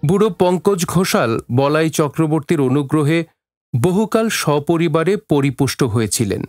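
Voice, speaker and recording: A man's voice: on the high side, lively intonation, moderate pace, a little mellow, no echo, clean studio sound, soft background music. A man narrating a scripted story for an audio drama, in a literary storytelling style.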